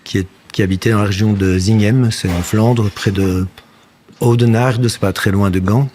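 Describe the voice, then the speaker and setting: A man's voice: fairly low pitch, steady tone, moderate pace, darkish tone, slight background noise. A Belgian man in his fifties with a slight Flemish-tinged French accent, speaking as an interview guest. He talks reflectively, hesitating often and restarting sentences. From Belgium, near Brussels. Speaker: guest, actor and puppeteer. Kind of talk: casual interview talk.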